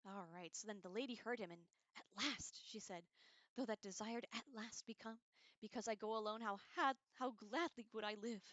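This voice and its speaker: A woman hosting a casual livestream read-along, expressive and informal, reading aloud and adding chatty, slangy asides. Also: on the high side, normal speed, no room sound, lo-fi sound. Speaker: livestream host reading a book aloud with commentary.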